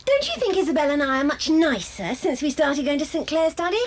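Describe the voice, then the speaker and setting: A woman playing a bright, bossy, chatty British schoolgirl in a children's audio drama, brisk and gushing. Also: high-pitched, very animated, slight background noise. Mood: chatty, earnest.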